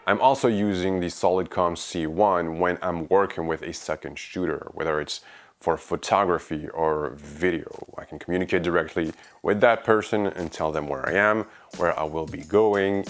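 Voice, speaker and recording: Low-pitched Frenchman narrating a review vlog. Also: steady tone, moderate pace. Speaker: video creator and reviewer. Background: background music.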